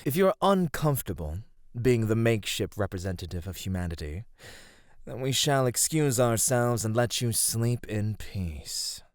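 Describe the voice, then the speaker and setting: A man plays an immortal god of radiance, soft-spoken and courtly, for an ASMR roleplay. The voice sits low and swells and dips expressively, tender and a little flirty. It is recorded dry and intimate. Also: big pitch swings, moderate pace, no room sound. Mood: courteous, earnest. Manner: soft, formal, tender speech.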